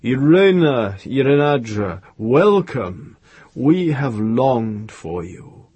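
A man voicing a kind, grave master in a storybook, gentle, restrained and solicitous. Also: mid-range voice, lively intonation, measured pace, vocal fry. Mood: glad, welcoming.

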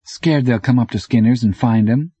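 A man's voice: medium pitch, moderate pace, dark tone, breathy edge, no echo, pitched above the performer's own voice, natural voice. A man voicing a scrappy, defiant but scared young female bike messenger, terse and earnest, in an audiobook. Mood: scared, serious. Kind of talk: audiobook character dialogue.

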